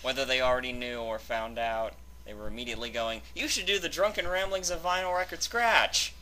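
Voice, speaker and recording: A man chats casually as the host of a reading channel. His voice is mid-pitched and very bright and forceful, and he stumbles over his words a little. Faint noise sits behind the recording. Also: fairly quick. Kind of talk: casual banter introducing a reading.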